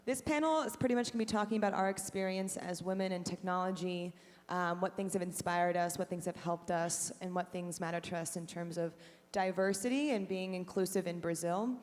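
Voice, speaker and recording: Woman moderator, fairly low voice, chatty with fillers, long hall reverb. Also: moderate pace, a little mellow. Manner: friendly, filler-heavy with frequent "um". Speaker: panel moderator. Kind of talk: live panel moderation. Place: conference stage in a large hall.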